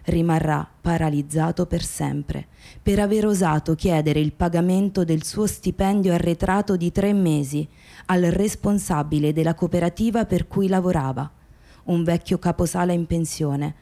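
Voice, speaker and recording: A woman narrating a scripted radio theatre documentary in a grave, deliberate manner. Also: lowish voice, vocal fry, dark tone.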